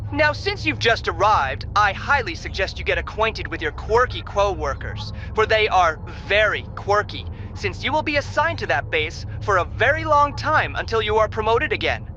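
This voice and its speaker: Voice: mid-range voice, big pitch swings, moderate pace, few pauses, pushed and bright, highs cut off, slight background noise. A performer playing a smug, self-congratulating commanding officer heard over a transmission. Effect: radio transmission.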